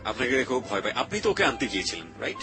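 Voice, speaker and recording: A man's voice: mid-range voice, sing-song, racing. A man with a Bangladeshi accent hosting a confessional radio interview show. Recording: music playing behind the voice. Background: background music.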